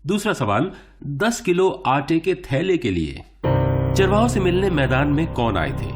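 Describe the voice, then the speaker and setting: An actor playing a showy quiz show host in a radio play, teasing and polite, announcing theatrically. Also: male voice, fairly high pitch, sing-song, unhurried. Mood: upbeat. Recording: music under the voice. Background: music.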